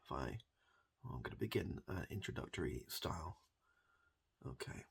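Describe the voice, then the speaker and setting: A man with a very deep, creaky and husky voice performs improvised ASMR comedy as a hairdresser. He speaks softly and deadpan. His delivery rambles, halts and circles back on itself. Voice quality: gravelly, husky low voice. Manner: rambling, deadpan, halting and repetitive.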